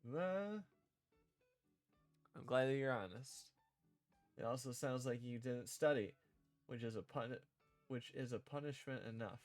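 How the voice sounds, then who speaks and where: Slow-paced man thinking out loud. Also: medium pitch, dry recording, soft background music. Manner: halting, thinking out loud, repeating and rewording lines. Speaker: streamer developing own visual novel. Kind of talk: casual livestream, reading and drafting script aloud.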